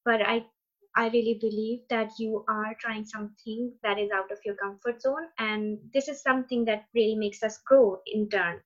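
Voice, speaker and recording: Urdu-speaking woman, warm encouraging chat. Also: fairly high pitch, fairly even intonation, normal speed. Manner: encouraging, reflective, some hesitant fillers. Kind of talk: casual conversation. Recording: slightly dull lo-fi sound.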